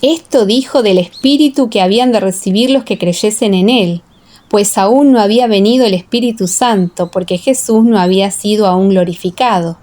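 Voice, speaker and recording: A woman reading a dramatized Bible audiobook as narrator, in a solemn, measured storytelling manner. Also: medium pitch, darkish tone. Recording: echoing, long reverb.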